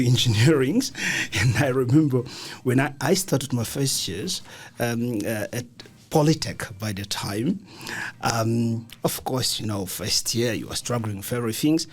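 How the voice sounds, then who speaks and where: A man speaking as an interview guest, telling his story in a halting way and often repeating words. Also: mid-range voice, darkish tone, husky. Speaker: guest, engineering academic. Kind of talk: radio interview, personal story. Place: radio studio.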